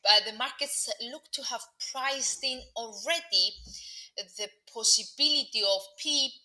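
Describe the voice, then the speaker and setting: A woman's voice: sits high, measured pace, sharp tone, low-quality recording. A young woman with a Greek Cypriot accent giving live market commentary to online viewers, hesitant with many fillers and restarts. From Cyprus. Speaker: market analyst presenting a live webinar.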